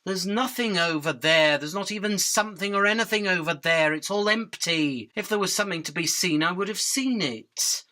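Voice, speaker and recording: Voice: mid-range voice, moderate pace, hardly any pauses, bright, forceful tone, lightly acted. An actor playing a resigned, pedantic young housefly in a comic radio drama, rambling with wry philosophy. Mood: defensive.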